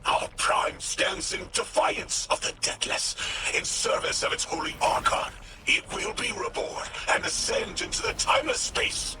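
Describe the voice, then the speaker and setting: An actor playing a fanatical alien religious leader in a video game, with a harsh breathy rasp and grandiose, cryptic, broken speech. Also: male voice, extremely high pitch, wildly swooping pitch, measured pace, music in the background, full character voice, poor audio. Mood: defiant fervor. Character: fanatical alien Archon, prophet-leader of an exiled house. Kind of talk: video game villain acting.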